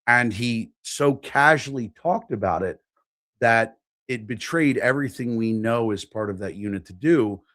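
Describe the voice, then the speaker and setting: A man's voice: mid-range voice, unhurried, a bit bright, no room sound. A man from Philadelphia, a guest on a talk show, giving his opinions frankly and with emphasis. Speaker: podcast guest. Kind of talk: casual political chat.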